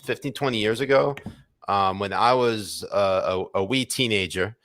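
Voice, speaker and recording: A man's voice: lowish voice, moderate pace, a bit bright, dry recording. A man in his thirties co-hosting a podcast, chatting casually, swearing freely and laughing often as he rambles through stories. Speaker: podcast co-host. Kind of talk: casual chat.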